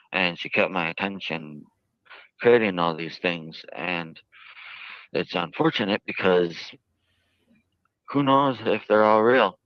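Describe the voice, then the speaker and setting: A man giving interview answers in a halting, earnest way with stiffly formal phrasing. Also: sits low, dry recording. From United States. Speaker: jail inmate being interviewed. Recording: dull lo-fi archived interview audio.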